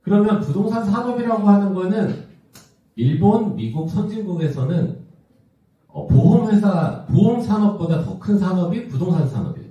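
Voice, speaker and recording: Man around fifty, business presenter, lively intonation, questioning conversational delivery, muffled distant hall recording. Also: high-pitched, moderate pace. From South Korea. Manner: conversational, asks the audience rhetorical questions. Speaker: company executive presenting. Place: large indoor event room with audience.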